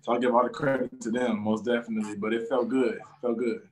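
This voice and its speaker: Easygoing young man, flat delivery. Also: mid-range voice, fast, a little hiss or hum. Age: young adult. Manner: easygoing, fills with "you know" and "man". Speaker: college defensive back. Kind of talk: post-game press conference answers.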